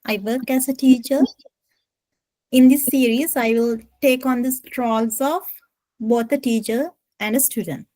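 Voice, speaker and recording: High-voiced Sri Lankan woman, slow speaker. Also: steady tone, warm tone, dry recording, low-quality recording. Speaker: teacher, co-host of English lessons. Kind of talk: informal English lesson conversation.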